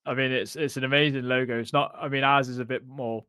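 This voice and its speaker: A man's voice: mid-range voice, fairly even intonation, fast, sharp tone, smooth, no room sound, clean studio sound. A man from England hosting a football podcast in a chatty way, with plenty of fillers and restarts. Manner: chatty, fillers and repeated words. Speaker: podcast host. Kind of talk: casual podcast chat.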